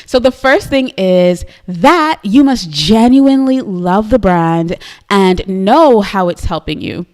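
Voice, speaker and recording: A woman's voice: medium pitch, lively intonation, takes it slowly. A young woman with a Trinidadian accent hosting a live stream show, talking to her viewers in an upbeat, chatty way and often tagging "right?" onto her points. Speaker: host of a live business show.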